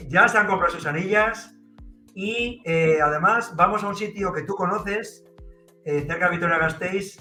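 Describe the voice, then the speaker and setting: Male host, bright and higher voice, fillers, echoey video-call sound. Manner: affirming, hesitant fillers. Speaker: podcast host and trainer. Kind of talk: casual interview chat. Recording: roomy echoey call audio.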